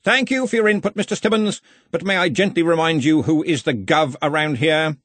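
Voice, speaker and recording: A man voicing a loud, brisk senior wizard who runs a university, jovial but with menace, in a fantasy audiobook. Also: on the high side, huge pitch swings, husky, dry recording, voice pushed up, heavily acted. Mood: cheerful, pointed.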